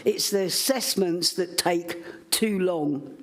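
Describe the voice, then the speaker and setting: A woman addressing a council committee in a loud, insistent, campaigning manner. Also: lowish voice, slow. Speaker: public speaker at council meeting. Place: council chamber.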